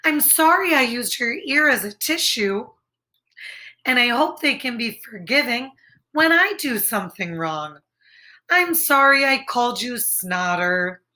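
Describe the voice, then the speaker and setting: Lady doing a fretful lil storybook rabbit guy, earnest, bright voice, real up and down, takes his time. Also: fairly high pitch, very animated, unhurried, heavily acted. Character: earnest, fretful male rabbit worried about new otter neighbors.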